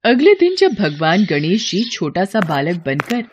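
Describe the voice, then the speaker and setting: A woman's voice: fairly low pitch, wildly swooping pitch, a little slow, highs cut off, background noise. A woman narrating a scripted devotional story as a storyteller.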